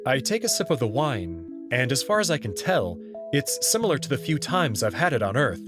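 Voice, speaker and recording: A man's voice: mid-range voice, big pitch swings, fairly quick, over music. A man reading a visual novel aloud in first person, moving between narration and dialogue. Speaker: narrator and player reader.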